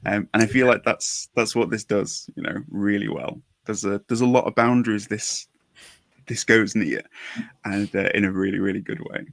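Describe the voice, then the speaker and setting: A man's voice: lowish voice, steady tone, moderate pace, gravelly, a bit bright, no room sound. A man hosting a casual podcast, enthusiastic and fond of tagging questions onto his points. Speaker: podcast host.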